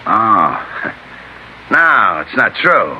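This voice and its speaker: An actor playing a cocky, evasive robbery suspect in an old radio crime drama, protesting in slangy, wheedling lines. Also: male voice, lowish voice, sing-song, drawn-out words, dull audio, full character voice. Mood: defensive, evasive. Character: cocky, evasive male robbery suspect, defensive small-time crook. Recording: old radio recording with faint hiss.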